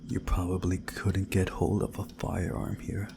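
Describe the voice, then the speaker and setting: A man reading a visual novel story aloud in a measured, dramatic storytelling way, hushed at times. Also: deep voice, level tone, gravelly, background noise. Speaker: video game playthrough narrator.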